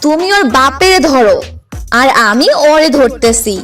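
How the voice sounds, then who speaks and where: A woman's voice: high-pitched, animated, unhurried, distant mic, over loud music. A woman voicing a feisty, combative young female football fan in a comic cartoon dub. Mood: angry, combative. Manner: combative, sharp-tongued.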